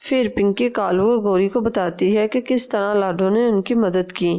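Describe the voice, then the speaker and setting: A woman's voice: mid-range voice, normal speed, warm tone, highs cut off. A woman narrating a scripted children's story.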